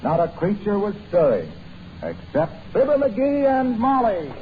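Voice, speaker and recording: A man's voice: high voice, huge pitch swings, measured pace, noisy background. An American man announcing in the scripted style of 1940s radio, reading introductions and sponsor copy. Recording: tinny 1940s radio recording with music.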